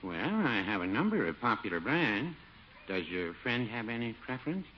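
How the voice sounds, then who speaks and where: A man's voice: medium pitch, very animated, normal speed, lightly acted. An actor playing a mild, folksy small-town druggist with droll salesman patter in 1950s radio comedy. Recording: dull, noisy old radio with audience.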